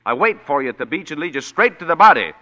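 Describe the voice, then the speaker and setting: An actor playing a dry, wisecracking private detective who also narrates, in a 1940s radio drama. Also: male voice, a little high, big pitch swings, fast, bright, forceful tone. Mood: wry. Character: wisecracking hard-boiled male private detective. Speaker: narrator and lead. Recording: dull old radio broadcast with faint music.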